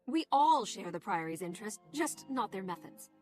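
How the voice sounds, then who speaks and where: A woman acts out a small, cool-headed covert agent in a fantasy game. The voice is medium in pitch, measured and sly, over faint music. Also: very animated, darkish tone, natural voice. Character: cool female gnomish spy.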